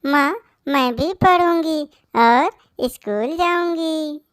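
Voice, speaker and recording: A woman's voice: high-pitched, slow pace, no room sound, studio quality. A woman voicing a pleading, mistreated little bird girl in a moral-story cartoon. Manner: pleading, plaintive.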